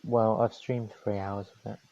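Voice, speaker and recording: A man calmly commentating his own strategy game on a live stream, his voice going hoarse, from New Zealand. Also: lowish voice, moderate pace, highs cut off. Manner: calm, matter-of-fact strategy talk.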